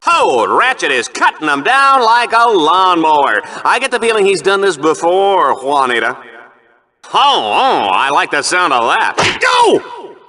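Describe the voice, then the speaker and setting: An actor playing a smug, vain, bloodthirsty TV arena commentator in a video game, with a hammy, theatrical announcer delivery. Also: male voice, sits high, wildly swooping pitch, measured pace, bright, forceful tone, exaggerated voice. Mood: excited hype. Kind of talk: bombastic game-show sports commentary.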